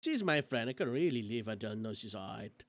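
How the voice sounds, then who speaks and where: A woman streamer reads a visual novel aloud. Her pitch swings in a lively, theatrical way between narration and casual asides, close on a dry mic. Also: a little fast, no room sound. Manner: theatrical reading with exclamations and asides. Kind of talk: live game reading with casual commentary.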